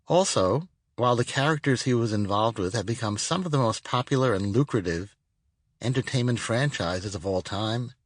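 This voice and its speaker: A man's voice: fairly low pitch, no echo. A man reading his own nonfiction audiobook as a scripted narration, recorded in a studio.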